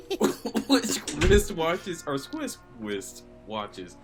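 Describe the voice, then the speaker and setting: Man, wry and self-mocking, very lively pitch, slow talk. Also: over loud music. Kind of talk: casual group chat.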